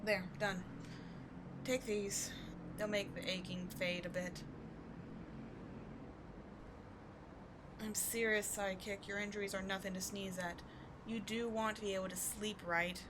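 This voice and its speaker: A woman's voice: mid-range voice, little pitch movement, normal speed, lightly acted. A woman playing a bitter, wounded villain who secretly cares, sardonic and exasperated, in a dramatic ASMR audio roleplay. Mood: stern, caring. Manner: sardonic, exasperated, emotionally intense. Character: bitter, wounded female villain secretly caring for rival sidekick. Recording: clearly audible background noise.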